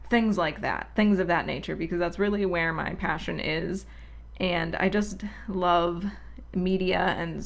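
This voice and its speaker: A woman hosting her own channel, talking unscripted and alone at her microphone in a soothing, relaxed, rambling and candid way. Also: lowish voice, gravelly. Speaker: channel host. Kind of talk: unscripted casual monologue. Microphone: solo at her own microphone.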